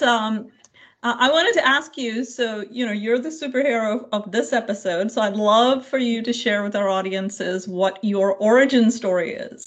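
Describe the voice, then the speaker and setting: Enthusiastic chatty woman podcast host. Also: medium pitch. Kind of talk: informal podcast interview.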